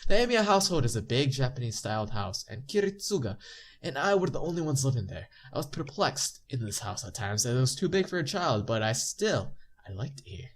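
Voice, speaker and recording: A man with a stuffy nose reading visual novel narration aloud as a let's play narrator, adding casual commentary. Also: mid-range voice. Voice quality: stuffy-nosed. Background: game music.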